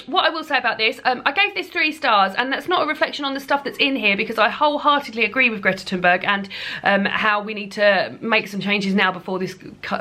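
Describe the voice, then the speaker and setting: A British woman with a bright, forward voice talks casually to her viewers as a book reviewer. She rambles through her thoughts and leans on repeated words for emphasis. The feel is an informal, chatty vlog. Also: fairly high pitch.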